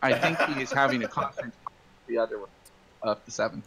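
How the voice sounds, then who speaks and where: A man's voice: mid-range voice, fast talker, a loud crowd, low-quality recording. A man chatting casually as a player in a tabletop roleplaying game. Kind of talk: casual chat.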